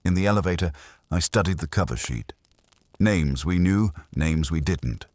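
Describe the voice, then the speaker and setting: Very deep, husky male detective-story narrator. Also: lively intonation, normal speed, a little mellow, dry recording. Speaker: audiobook narrator. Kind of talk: scripted first-person detective story narration.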